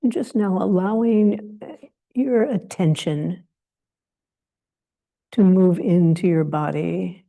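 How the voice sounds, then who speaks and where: A woman leading a guided meditation as a teacher, speaking in short, gentle phrases of invitation with long pauses between them. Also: on the low side, vocal fry, narrow, tinny audio.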